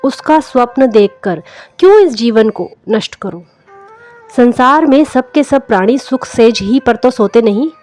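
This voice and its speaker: A woman's voice: a little high, animated, unhurried, a little mellow. A woman reading a literary story aloud as a scripted narration, in the manner of an audiobook storyteller. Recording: music under the voice. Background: background music.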